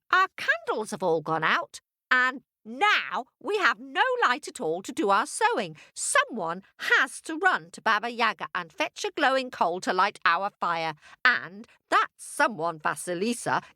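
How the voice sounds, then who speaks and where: A woman's voice: huge pitch swings, unhurried, hardly any pauses, sharp tone, dry recording, clean studio sound, full character voice. A woman voicing a harsh stepmother who shrieks and scolds. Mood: scolding. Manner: shrill, scolding.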